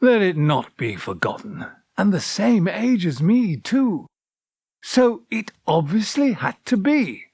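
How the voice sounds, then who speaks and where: Wistful, bookish man, high-pitched and slow. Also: very animated, a little mellow, breathy edge, dry recording, full character voice. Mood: reflective. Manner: articulate, wordy, formal and hesitant. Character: wistful, bookish man recalling his past loves, sometimes elderly. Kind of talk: audio drama acting, dialogue and reflective monologue.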